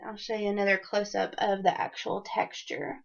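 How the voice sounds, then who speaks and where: Slightly raspy woman, lo-fi podcast. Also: medium pitch, little pitch movement, moderate pace. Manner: chatty, many ums, upbeat enthusiasm. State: raspy from a cold. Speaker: knitting podcast host. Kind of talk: casual video podcast chat. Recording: dull lo-fi audio.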